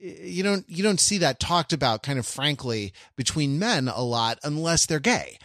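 A man co-hosting a podcast in casual chat, reflective and halting, with many restarts and fillers. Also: on the high side, sing-song, a bit bright, no echo, clean studio sound. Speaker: podcast co-host.

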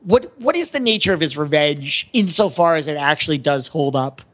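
A man's voice: on the high side, expressive, fairly quick, fairly bright tone. A man co-hosting a podcast in casual pop culture discussion, thinking aloud in an analytical way. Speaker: podcast co-host. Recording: slightly dull, lo-fi audio.

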